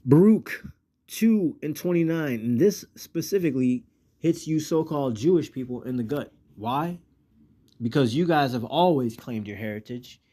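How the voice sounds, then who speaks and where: A man's voice: mid-range voice, dark tone. An American man preaching informally in a colloquial, slangy way, moving between plain talk and scripture reading. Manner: colloquial, street slang and profanity. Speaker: religious teacher.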